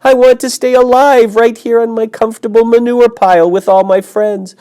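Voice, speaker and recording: A frightened young pig shrieks and sobs in a voice pushed far up in pitch. His cries are loud and wailing. It is a storybook figure, thrown into hysterics. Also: big pitch swings, a little slow. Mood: panicked. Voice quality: high wailing screams. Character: terrified young male pig, shrieking and sobbing.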